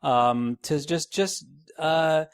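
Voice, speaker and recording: A man's voice: a little high, drawn-out words, clean tone. A man talking casually as a guest in a podcast interview. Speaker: podcast guest, radio producer.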